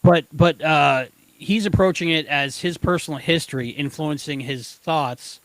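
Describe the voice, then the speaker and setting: Man, slightly high pitch, dry close sound, podcast co-host, casual discussion, halting, word-searching. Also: dry recording, poor audio. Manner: halting, searching for words, stumbling over starts.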